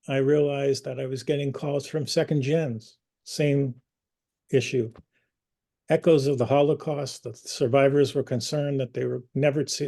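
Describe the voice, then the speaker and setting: A man hosting an online community meeting, speaking earnestly and personally, pausing with fillers and restarts. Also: medium pitch, vocal fry, dark tone. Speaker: community group host.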